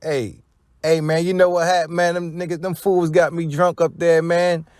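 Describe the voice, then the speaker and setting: A man's voice: on the high side, flat delivery, normal speed, darkish tone, clean tone, no echo, studio quality, close to an everyday voice. A man voicing a sheepish, apologetic rapper who pleads and rambles through slangy excuses. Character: sheepish apologetic male rapper making drunken excuses by phone.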